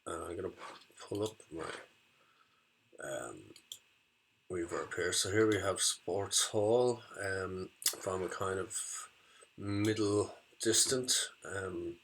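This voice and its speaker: A man giving an informal spoken demonstration of audio effects in a hesitant, halting way. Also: low-pitched, a bit bright, slight background noise, poor audio.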